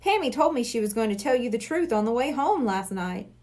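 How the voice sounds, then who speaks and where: Lady reading as friendly middle-aged hypnotist guy in a kids book, playful, polite, high lively voice. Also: a little fast. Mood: amused.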